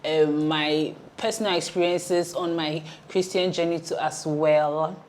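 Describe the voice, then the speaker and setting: A woman's voice: sits low, fairly even intonation, a little slow, darkish tone, slight background noise. A woman, chatting frankly and casually as a vlogger on a panel. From Ghana and Nigeria. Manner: frank, outspoken.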